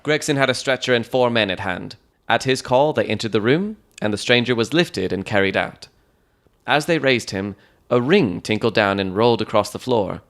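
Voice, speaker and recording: A man giving scripted, dramatic audiobook narration of a Victorian mystery in a measured, descriptive storytelling manner. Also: mid-range voice, fairly bright tone.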